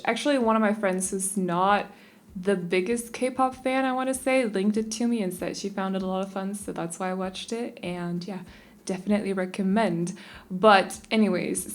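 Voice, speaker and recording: A young Danish woman chatting casually and rambling a little as she talks over a game speed build, often restarting her sentences. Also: mid-range voice. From Denmark. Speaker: YouTube creator.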